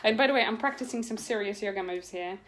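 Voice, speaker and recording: A woman's voice: medium pitch, fast, a bit bright. A woman hosting a casual vlog, chatty and eager to learn, picked up on a hand-held camera. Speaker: host learning audio production. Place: indoor room, not a studio. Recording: faint room noise and clicks.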